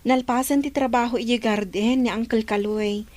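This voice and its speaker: A woman's voice: a little high, fairly even intonation, normal speed, darkish tone, close to an everyday voice. An actress playing a loyal, trusting, easily hurt young woman in love, in a radio drama with plaintive delivery. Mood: chatty.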